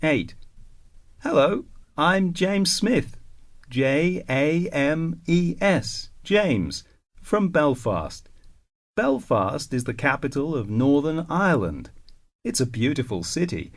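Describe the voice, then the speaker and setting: A man reading scripted English listening exercises deliberately, spelling words out letter by letter. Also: a little high, very animated, exaggerated voice. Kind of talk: scripted slow textbook dialogue.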